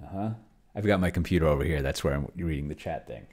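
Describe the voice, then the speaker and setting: A middle-aged man giving casual think-aloud commentary at his computer in a halting, murmuring way, close to a desk microphone. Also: extremely low pitch, darkish tone. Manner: halting, murmuring to himself while typing and reading. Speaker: host, solo roleplaying player. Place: small room at home, indoors. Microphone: desk microphone close to the mouth.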